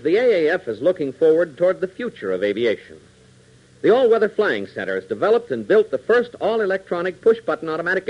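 A man's voice: a little high, husky. An American man narrating a 1940s radio serial as its announcer, in a dramatic, punchy scripted style. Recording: dull 1940s radio recording.

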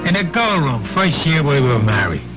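An actor playing a boozy, bullying husband in a 1970s radio drama, blustery and condescending with a menacing edge. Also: male voice, medium pitch, sing-song, moderate pace, music in the background, close to an everyday voice. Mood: boozy, nostalgic. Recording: dull vintage radio drama recording.

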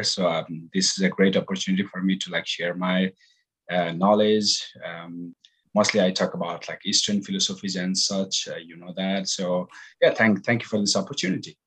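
A man's voice: deep voice, steady tone, moderate pace, slightly rough, rough recording. A man from Nepal with a Nepali accent, a meditation teacher answering interview questions hesitantly with many fillers. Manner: hesitant, many ums and likes.

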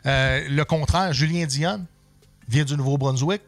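A man's voice: a little high, a little slow, no echo, soft background music. A man, a comedian guest on a casual podcast, often repeating words as he searches for what to say. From Canada.

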